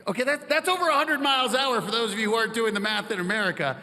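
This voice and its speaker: High, bright-voiced male moderator on stage. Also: rapid-fire. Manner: enthusiastic, joking. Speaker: panel moderator. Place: stage in a large hall. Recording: long hall reverb.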